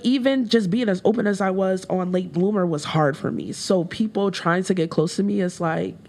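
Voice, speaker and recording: A woman rapper with a deeper voice, talking candidly as a guest in a casual interview, with frequent fillers. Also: a little mellow. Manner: candid, reflective, with laughs and many fillers.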